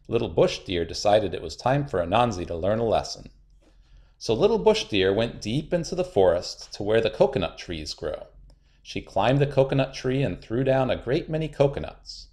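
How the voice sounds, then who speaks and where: Animated man reading a children's story. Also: medium pitch. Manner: expressive, dramatic repetition and emphasis. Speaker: storybook narrator. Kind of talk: children's read-aloud narration.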